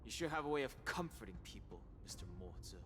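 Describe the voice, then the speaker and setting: An actor playing an earnest, determined young hero in a video game, heard in a long reverb with faint ambience. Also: male voice, mid-range voice, big pitch swings, fast talker, fairly bright tone, a little hiss or hum.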